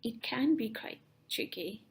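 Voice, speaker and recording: A young woman from South Africa whose first language is Afrikaans, answering interview questions in a thoughtful, soft and breathy way with frequent restarts. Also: fairly high pitch, lively intonation, measured pace, a little hiss or hum.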